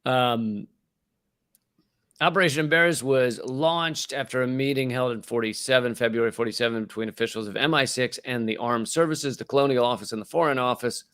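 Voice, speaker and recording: A man co-hosting a comedy podcast talks in a wry, rambling way and laughs between lines. His voice sits in a middle pitch. The sound is close and dry, with no room to it. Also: a little fast, a bit bright, dry recording. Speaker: podcast co-host. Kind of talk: casual comedy chat.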